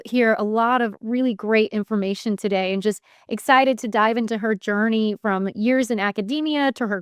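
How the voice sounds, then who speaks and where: Woman podcast host; upbeat and eager, pitched a little high, dry close mic. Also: smooth, no room sound. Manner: upbeat, eager, full of praise for the guest. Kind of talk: podcast interview hosting.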